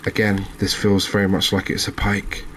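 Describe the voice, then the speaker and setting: A man giving relaxed ASMR commentary over a fishing video game, chatting to viewers and often checking in with tag questions. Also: deep voice, normal speed, slight background noise. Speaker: gaming video host.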